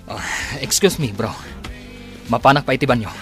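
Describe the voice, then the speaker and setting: A man's voice: medium pitch, lively intonation, normal speed, natural voice. A man with an Ilocano accent acting in a radio drama as an arrogant, short-tempered rich boss. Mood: irritated. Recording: dramatic music bed behind voice.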